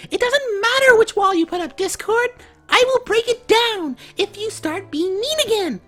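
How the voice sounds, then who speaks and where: A man voicing an excitable, scolding young female cartoon pony, loud and emphatic. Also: very high voice, moderate pace, soft background music, pitched above the performer's own voice, exaggerated voice. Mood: indignant.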